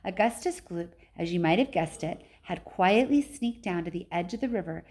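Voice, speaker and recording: A woman's voice: on the low side, animated, normal speed, a little mellow. A woman reading a children's book aloud like a teacher, with casual explanatory asides.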